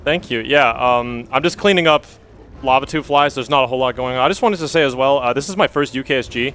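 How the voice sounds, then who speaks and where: A man's voice: medium pitch, fast, fairly bright tone. Man chatting through live gameplay. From Denmark. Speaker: speedrunner. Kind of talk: casual live gameplay commentary at a marathon.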